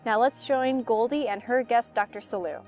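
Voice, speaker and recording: A woman with a slightly high voice reads a scripted show opening in a formal, announcer-like way. Faint music plays behind her. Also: moderate pace. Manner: formal read-aloud delivery. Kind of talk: scripted introduction.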